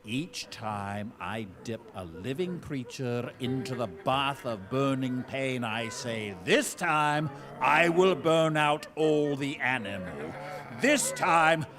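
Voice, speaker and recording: An actor playing an obsessed, grandiose scientist who creates men from animals, theatrical and brooding, in a live audio drama. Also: male voice, mid-range voice, animated, takes it slowly, crowd noise. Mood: fervent, defiant. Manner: theatrical, brooding, swelling into fervent declamation. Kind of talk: dramatic monologue in a live radio-style play. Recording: live stage recording with music underneath.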